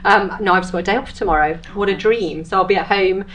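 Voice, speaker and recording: A woman's voice: mid-range voice, fast, a bit bright. A woman chatting casually and informally as a guest on a panel. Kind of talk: casual chat.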